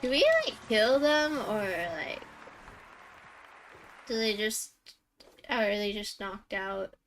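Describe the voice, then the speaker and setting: A woman's voice: medium pitch, slow, a bit bright, rough recording. A woman live-streaming a game with casual commentary.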